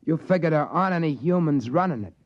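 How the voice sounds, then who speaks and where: A man's voice: on the high side, lively intonation, fast talker, no room sound, lightly acted. An American actor playing a folksy, easygoing trading-post man who narrates his tale plainly in a 1950s radio drama. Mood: puzzled, trusting. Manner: plain-spoken, folksy, colloquial. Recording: dull vintage radio recording, music underneath.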